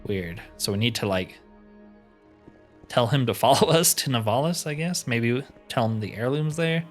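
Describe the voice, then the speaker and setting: A man hosts a gameplay video in a casual, talk-as-you-play style. His mid-range voice moves around in pitch a good deal. Music plays softly behind him. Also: lively intonation, moderate pace. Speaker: gaming video host. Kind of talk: casual roleplay gameplay commentary, reading dialogue aloud.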